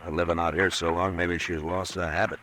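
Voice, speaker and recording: An actor playing a steady, firm frontier marshal in an old radio drama, speaking in terse, measured lines. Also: male voice, sits low, slight background noise. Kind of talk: 1950s radio drama acting.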